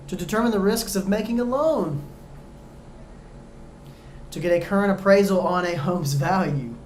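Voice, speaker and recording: A man tutoring viewers through real estate exam questions in a casual, chatty way, joking and going a bit hoarse. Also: sits high, roomy sound, slight background noise. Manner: chatty, talks straight to viewers, jokey asides. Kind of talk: casual practice-exam walkthrough.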